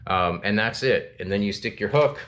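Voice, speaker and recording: A relaxed man in his thirties talks through his fishing gear in a loose, rambling way, full of ums and false starts. His voice sits a little low. He is recorded indoors in a small home office, speaking to a desk camera. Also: normal speed, slight background noise. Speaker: fishing channel host. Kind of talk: casual gear talk to camera.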